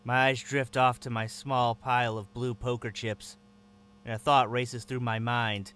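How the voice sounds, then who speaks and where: A man's voice: mid-range voice, little pitch movement, unhurried, fairly bright tone, smooth. A man narrating in first person as a captive, with a tense, wary inner voice.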